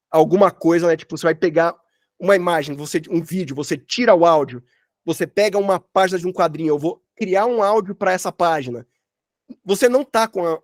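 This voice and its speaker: Man, Brazilian accent, fairly high voice, dry close sound, informal teaching, rambling and slangy. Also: dry recording. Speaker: sound designer teaching a workshop. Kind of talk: informal online workshop talk, explaining work examples. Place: at home, online session.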